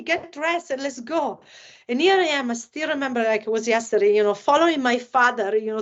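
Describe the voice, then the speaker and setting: A woman's voice: sits high, lo-fi sound. A woman from Italy with an Italian accent, hosting a casual podcast chat and filling her talk with "you know, like". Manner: many fillers like "you know, like". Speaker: podcast host.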